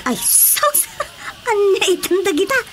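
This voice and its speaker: Very high, slow, exclaiming shopkeeper. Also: female voice, sing-song, fairly bright tone, slight background noise, exaggerated voice. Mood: amused surprise. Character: giggly market shopkeeper woman, easily amazed and busy. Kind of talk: Ilocano radio drama comedy acting.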